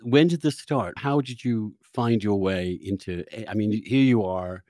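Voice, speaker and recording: A man's voice: fairly low pitch, unhurried, dark tone, dry recording, studio quality. A man hosting a podcast, talking in an effusive, conversational interviewing style. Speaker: podcast host.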